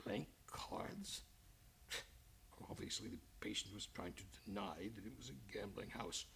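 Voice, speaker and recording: An actor playing a nervous, genteel, condescending senior psychiatrist who stammers and hesitates in a creaky voice, in old-time radio style. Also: male voice, lowish voice, unhurried, bright, forceful tone, a little hiss or hum, full character voice. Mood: uneasy, patronizing. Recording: studio radio play with music behind.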